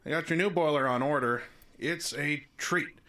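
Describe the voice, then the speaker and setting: A man's voice: a little high, normal speed, pushed and bright. A man acting in an audio drama as a folksy, plain-spoken small-town contractor. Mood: folksy, apologetic. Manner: folksy, easygoing, colloquial.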